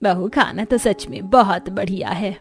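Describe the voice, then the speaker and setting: A woman voicing a warm, affectionate older mother-in-law of a humble household in a cartoon. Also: mid-range voice, measured pace, lightly acted. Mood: caring. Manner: affectionate, welcoming.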